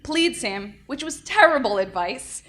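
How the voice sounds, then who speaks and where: A young adult woman, a defense attorney, giving an energetic conference lecture into a microphone. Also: sits high, measured pace, slight background noise. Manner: energetic, lively, self-described loud. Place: conference room. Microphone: handheld or podium mic.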